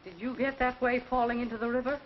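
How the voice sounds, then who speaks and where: Woman acting stern landlady, highish voice, very unhurried, muffled old film soundtrack. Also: fairly even intonation, a little hiss or hum, close to an everyday voice. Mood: stern, disapproving. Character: stern landlady, over forty, unimpressed and commanding.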